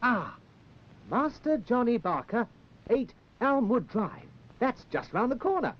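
High-voiced, dithery puppet handyman. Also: very animated, a little slow, a little hiss or hum, heavily acted. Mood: fussy, helpful. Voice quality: high-pitched. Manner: flustered, hesitant, stammering. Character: dithery, eager-to-help young handyman puppet. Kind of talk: 1960s children's puppet show acting. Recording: dull 1960s television film soundtrack, music.

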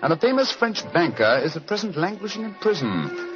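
An actor playing an urbane, commanding English detective with a British accent, speaking precisely in an old radio drama. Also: male voice, sits high, very animated, fairly quick, a bit bright. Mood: wry. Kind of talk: 1940s radio acting. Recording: dull old radio recording over music.

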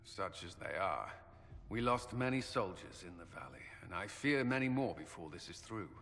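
A man's voice: low voice, lively intonation, moderate pace, gravelly, a bit bright, heavily acted. A man playing a blunt, skeptical, battle-weary military commander in a fantasy video game. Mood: grim. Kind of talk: dramatic fantasy game acting. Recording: long echoing reverb.

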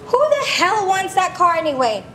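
A very high-pitched actress playing an older mother scolds and brushes things off bluntly. She sounds exasperated, in a live staged drama with faint background noise. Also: very animated, normal speed, a bit bright, full character voice. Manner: blunt, dismissive. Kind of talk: staged play reading. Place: large glass-walled public hall, on stage.

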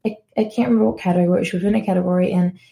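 Young woman, podcast host, casual chatty talk, gravelly fry, mellow dark tone. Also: lowish voice, fairly even intonation, very fast, poor audio. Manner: chatty, enthusiastic, self-correcting.

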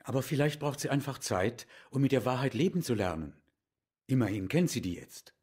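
Composed, husky-voiced professor. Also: male voice, mid-range voice, lively intonation, brisk, a little mellow. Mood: calm concern. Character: male professor, composed, sensible friend of the doctor. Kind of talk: German radio drama acting.

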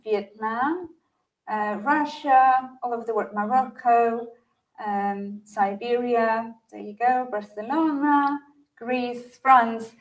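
A woman's voice: a little high, poor audio. A woman hosting a live online session, welcoming guests and reading out where viewers join from in an upbeat way. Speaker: host.

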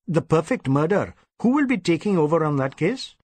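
A man's voice: on the high side, big pitch swings, moderate pace, a little mellow, no room sound, pitched above the performer's own voice. A man voicing an anxious, deferential Indian police inspector, hesitant and eager to please, in an audiobook. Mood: dutiful. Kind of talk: audiobook character acting.

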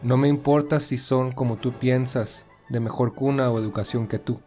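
A man playing a tender, earnest, determined young gentleman in love in a romantic audio drama. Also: mid-range voice, fairly even intonation, moderate pace, faint music behind, close to an everyday voice. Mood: earnest, low.

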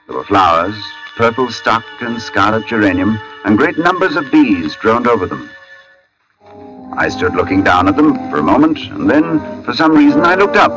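An actor playing a brooding, polite gentleman narrator in a 1940s radio drama, measured, literary and intense. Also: lowish voice, low-quality recording. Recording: old radio broadcast with music.

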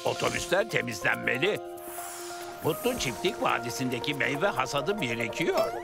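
Gravelly, dramatic cartoon official. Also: male voice, mid-range voice, very animated, moderate pace. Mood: concerned. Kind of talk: children's cartoon dub acting. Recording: loud music behind the voice. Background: loud cartoon music.

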